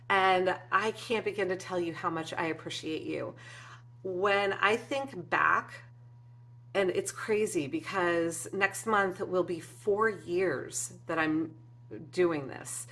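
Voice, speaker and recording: A woman's voice: on the low side, steady tone, slow pace. A woman in her forties hosting her craft vlog, talking heartfelt and casual to viewers with lots of ums from a room at her desk. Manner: warm and emotional, frequent ums, gestures with hands. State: teary at moments. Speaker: craft channel host. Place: indoor craft room with shelves and flowers.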